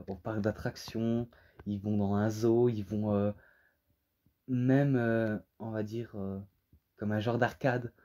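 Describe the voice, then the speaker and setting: A man who is a native French speaker, giving a casual, unscripted review in a halting way, often searching for words. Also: lowish voice, warm tone. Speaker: amateur reviewer.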